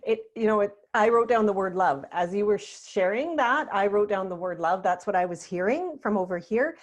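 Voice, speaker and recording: A woman's voice: medium pitch, expressive, brisk. A woman life coach hosting an interview, speaking in an affirming, enthusiastic way. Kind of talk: interview conversation.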